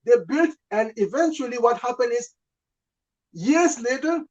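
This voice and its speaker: A man's voice: extremely high pitch, animated, unhurried, a little mellow. A man from the Congo, a business coach, talking animatedly and repeating words as he thinks aloud. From Democratic Republic of the Congo. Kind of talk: casual talk show discussion.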